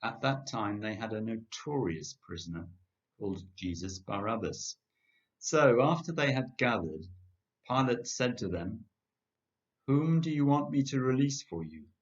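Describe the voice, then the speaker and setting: An older man reading a Gospel passage aloud with measured, deliberate delivery, on a webcam mic in a home kitchen. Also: medium pitch, animated. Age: older, 60s or above. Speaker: church congregation member reading scripture. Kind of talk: scripture reading, narration of a Gospel. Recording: dull lo-fi home webcam sound.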